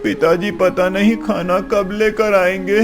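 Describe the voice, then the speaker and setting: A man's voice: high-pitched, little pitch movement, measured pace, over music. A man voicing an innocent little boy in a cartoon story, childlike and whiny. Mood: pleading.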